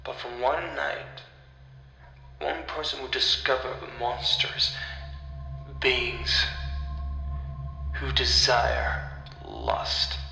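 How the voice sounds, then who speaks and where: A man narrating a scripted horror story as the storyteller, in a deliberate, dramatic way with pauses. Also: mid-range voice, gravelly, sharp tone, a little hiss or hum. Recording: echoey, distant-sounding voice over music. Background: music.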